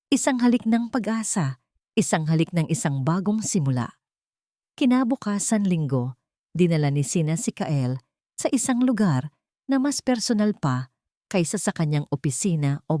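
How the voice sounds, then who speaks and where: A woman from the Philippines narrating a romance audiobook in a gentle, measured storytelling manner. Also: deep voice, no room sound, clean studio sound.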